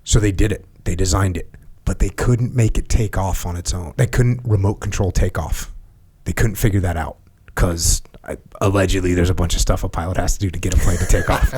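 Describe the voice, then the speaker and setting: A man's voice: sits low, fairly even intonation, a little fast, darkish tone, slightly rough. A middle-aged man hosting a podcast, asking blunt, probing questions and telling a story plainly. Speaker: podcast host. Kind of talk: casual conversational interview.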